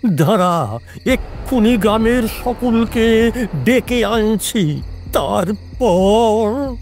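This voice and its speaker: A man playing an irritable, self-important Brahmin priest in a horror audio drama, grumbling in drawn-out phrases. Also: sits high, animated, darkish tone, soft background music, full character voice. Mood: frightened, accusing. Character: irritable, haughty village Brahmin priest, living alone, superstitious.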